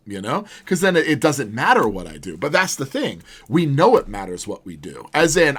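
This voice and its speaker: A man with a booming voice talks like a philosophy professor thinking aloud. His musings are earnest and rambling, delivered in a lecture-like way as he plays a video game. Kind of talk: casual monologue while playing a video game.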